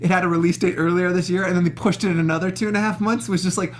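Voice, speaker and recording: A man's voice: high voice, fairly even intonation, very fast, slight background noise. A man hosting a casual panel chat, rambling and emphatic with a jokey streak. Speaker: show host. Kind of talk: casual panel chat about games and film.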